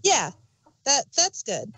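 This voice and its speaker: Female podcast reviewer, animated and fairly high voice, conversational, dry close sound. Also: slow pace, husky, no echo. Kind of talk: casual chat reviewing an audio drama.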